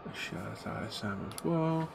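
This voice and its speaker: A man's voice: on the low side, animated, normal speed, creaky, noisy background. A middle-aged man, hosting a casual live stream from his hobby room. He sounds bunged up from a cold and greets his viewers by name as he chats. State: congested, getting a cold.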